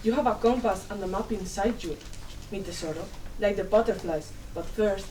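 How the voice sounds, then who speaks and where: Woman acting mid-pitched, wise, mystical Cuban elder. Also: moderate pace, lightly acted. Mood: firm, consoling. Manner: firm, gentle, oracular, with Spanish endearments. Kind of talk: dramatic play reading. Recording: distant mic, faint room noise.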